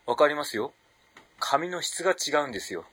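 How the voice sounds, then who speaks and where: A man's voice: on the high side, lively intonation, fairly quick, a bit bright, husky. A man playing an officious train conductor in a radio drama, formal, stern and probing. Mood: politely suspicious. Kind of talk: Japanese radio drama acting.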